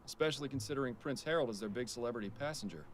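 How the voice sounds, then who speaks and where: Man acting a low-voiced, businesslike detective. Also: rapid-fire, a little hiss or hum, natural voice. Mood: dry, focused. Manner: to the point, polite questioning. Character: businesslike, dry-witted male police detective. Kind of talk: voiced adventure game dialogue.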